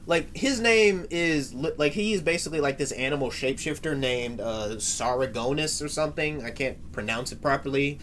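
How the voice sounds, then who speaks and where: A man's voice: a little high, normal speed, few pauses, slight background noise, close to an everyday voice. A man playing a brash, talkative superhero who swears often and restarts his sentences in an improvised, in-character chat. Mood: casual banter. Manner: excitable, swears a lot, frequent restarts. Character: brash young adult male superhero, talkative.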